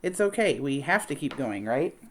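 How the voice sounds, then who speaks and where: A woman voicing an excitable, pleading young boy in a storybook read-aloud. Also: very deep voice, normal speed, slight background noise. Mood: pleading, urgent.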